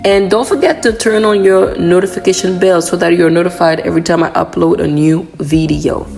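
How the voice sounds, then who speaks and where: A woman narrating a voiceover for her morning routine vlog, hoarse from a cold, chatty and relaxed with frequent "um"s. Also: on the low side, a little fast, soft background music. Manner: relaxed, chatty, many "um" fillers. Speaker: vlogger.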